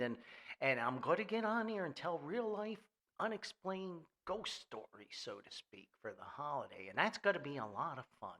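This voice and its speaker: A man's voice: medium pitch, fairly bright tone. An older man hosting a casual, rambling podcast in a folksy, jokey manner. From Paterson, New Jersey, US. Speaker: podcast host.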